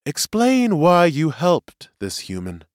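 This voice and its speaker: A man performs an imperious female seer from a fantasy storybook, a serpent judge with a raised, forceful voice. She gives formal, ringing commands and expects to be obeyed. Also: on the high side, huge pitch swings, slow pace, no echo, clean studio sound, voice pushed up, heavily acted. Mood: stern.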